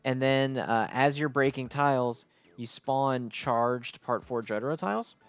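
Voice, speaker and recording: A man's voice: medium pitch, unhurried, clear, resonant tone, soft background music. Rambling man doing casual gameplay commentary. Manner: rambling, self-interrupting, casually sweary. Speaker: gaming video host.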